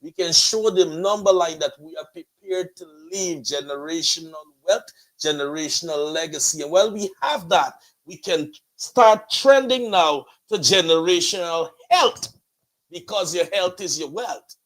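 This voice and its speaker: A man's voice: fairly high pitch, expressive, measured pace, fairly bright tone, low-quality recording. A man with a Guyanese accent hosting a motivational show like a preacher, with emphatic, flowery, repetitive rhetoric. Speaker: host and pastor of a motivational online show.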